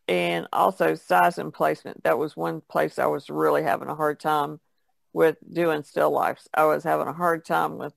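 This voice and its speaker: A woman's voice: deep voice, creaky, dry recording. A woman talking casually as an artist on a podcast. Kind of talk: casual chat.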